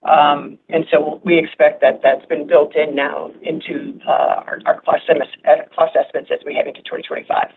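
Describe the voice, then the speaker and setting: A woman speaking as a finance executive on an earnings call, explaining in a halting, measured way. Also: low-pitched, little pitch movement. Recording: phone-like conference line, noisy.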